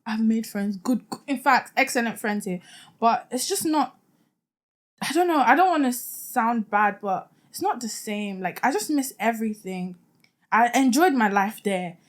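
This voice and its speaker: A woman's voice: a little high, a little slow. A young woman from Nigeria, a podcast guest, telling stories in casual chat with lots of laughter and joking. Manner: animated, laughing storyteller who jokes.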